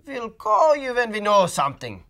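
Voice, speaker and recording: A man's voice: sits high, big pitch swings, moderate pace, bright, forceful tone, pitched above the performer's own voice, full character voice. A self-important doctor from a storybook announces his guesses with great authority, as a man puts him on. His voice climbs and falls with flourish. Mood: authoritative.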